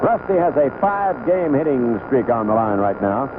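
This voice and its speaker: A male baseball announcer gives live play-by-play at a medium pitch, in short conversational phrases with pauses between them. The sound is a thin, band-limited old AM radio broadcast, with plenty of noise around the voice. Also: big pitch swings. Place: broadcast booth at a baseball stadium. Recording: noisy lo-fi 1970s AM radio broadcast.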